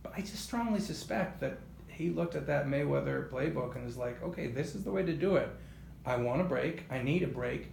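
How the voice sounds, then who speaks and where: A man hosting a boxing talk show, speaking conversationally in wordy, halting sentences and posing rhetorical questions. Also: medium pitch, expressive, vocal fry, a little mellow. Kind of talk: conversational sports interview. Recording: roomy echo, faint background noise.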